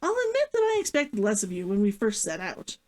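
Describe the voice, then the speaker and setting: Storybook role: gruff, caring male traveler, matter-of-fact, swinging intonation read by a woman. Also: medium pitch, huge pitch swings, brisk, darkish tone. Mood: practical, caring. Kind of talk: fiction read-aloud character dialogue.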